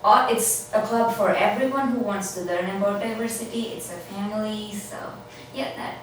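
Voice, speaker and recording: A woman's voice: medium pitch, fairly even intonation, moderate pace, slight background noise. A young international student woman from India, explaining her club earnestly in a casual interview. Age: young adult. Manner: earnest, explaining, a few hesitations. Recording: echoey room, mic far off.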